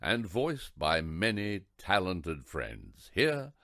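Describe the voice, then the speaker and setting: A man's voice: low voice, huge pitch swings, slow pace, fairly bright tone. A man reading scripted narration for a radio play.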